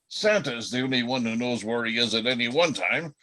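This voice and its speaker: Casting: man as affectionate, jovial reindeer dad for a radio play, very animated intonation, folksy delivery. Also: mid-range voice, fairly quick. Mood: jovial, wry. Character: jovial, affectionate male reindeer, husband and father. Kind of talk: reader's theatre radio play acting.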